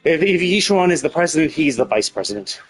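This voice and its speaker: Higher-pitched man streamer rambling over music. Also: sing-song, fast, breathy edge. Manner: rambling, thinking aloud, swears freely. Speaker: game streamer. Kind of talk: casual live commentary on a story game. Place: indoors, livestream setup.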